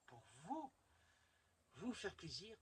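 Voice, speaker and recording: A man's voice: high-pitched, huge pitch swings, slow pace. A man chatting casually and enthusiastically as he hosts a rambling home video about his collection. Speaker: host of a collectors' video channel. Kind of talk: casual vlog chat showing a collection. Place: indoors at home. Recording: noisy home recording.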